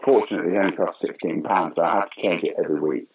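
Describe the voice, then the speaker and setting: Husky older British man telling anecdotes. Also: low voice, very fast, narrow, tinny audio. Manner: storytelling, animated anecdotes. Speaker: interview guest, pantomime dame actor. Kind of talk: casual anecdotal interview.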